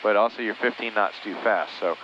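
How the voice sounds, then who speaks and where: Low-voiced male flight instructor over muffled intercom. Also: a little fast. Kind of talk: casual in-cockpit coaching. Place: small twin-engine plane cockpit in flight. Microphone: aviation headset. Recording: muffled aircraft headset intercom with engine noise.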